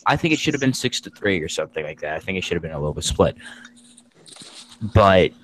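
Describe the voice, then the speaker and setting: A man's voice: low voice, very animated, fast, a little hiss or hum, low-quality recording. A man speaking as a host in a casual group discussion, giving his commentary.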